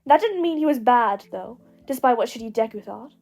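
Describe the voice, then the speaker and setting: A woman narrates fiction in a high voice. Her delivery is scripted and expressive, with faint music behind it. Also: brisk, gravelly. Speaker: podfic narrator.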